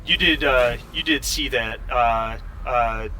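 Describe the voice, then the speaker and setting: Bright-voiced man game master, slow pace. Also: medium pitch, a little hiss or hum, poor audio. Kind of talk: running a tabletop role-playing game.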